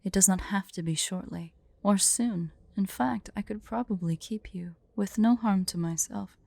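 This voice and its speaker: A woman playing a haughty, prickly but secretly fond female dragon in an ASMR roleplay, imperious and deliberate. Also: medium pitch, vocal fry, darkish tone, no echo. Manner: imperious, indignant, slow and deliberate.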